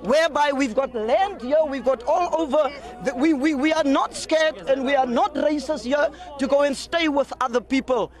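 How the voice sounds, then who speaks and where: A man's voice: very high voice, moderate pace. A South African man, a protesting resident, speaking emphatically and with agitation into a news microphone outdoors. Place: outdoors among a group of people. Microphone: handheld news microphone.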